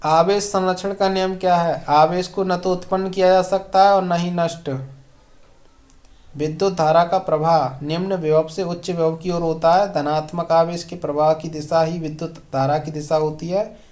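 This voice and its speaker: Coaching-class tutor, mid-pitched voice, methodically reading out quiz questions with short, clear-cut answers. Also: fairly even intonation, moderate pace, darkish tone. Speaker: exam coaching teacher. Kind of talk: question-and-answer lesson read aloud.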